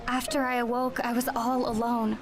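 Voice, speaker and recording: A woman's voice: a little high, little pitch movement, normal speed, lightly acted. A woman playing a young, sombre and determined traveler narrating her story in a video game cutscene. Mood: sombre, resolute.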